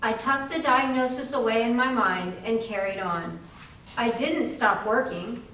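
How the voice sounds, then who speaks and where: A woman's voice: a little high, measured pace, bright, forceful tone. A woman playing a plucky, defiant young woman in a dramatic readers theater monologue. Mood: resolute. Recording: echoey hall, far mic, faint noise.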